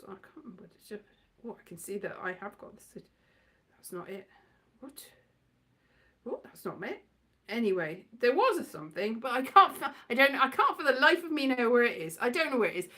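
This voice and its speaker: A woman's voice: on the high side, a bit bright. A woman in a casual, rambling monologue, playful and scatty, wandering off on tangents and repeating her words. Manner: playful, acts out quotes in put-on voices.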